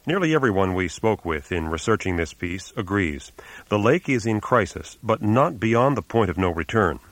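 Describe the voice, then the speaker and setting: A man hosting a radio environment show, asking questions and reading scripted narration in a measured announcer style. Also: on the low side, animated, fairly bright tone, dry recording. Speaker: radio show host and reporter.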